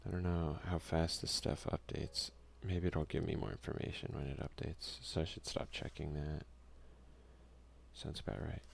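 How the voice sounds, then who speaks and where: A soft-spoken man doing an ASMR let's play, reading game text aloud and commenting in a hushed voice that at times slips into a whisper. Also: very deep voice, steady tone, normal speed, gravelly, a little hiss or hum. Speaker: gaming video creator and player.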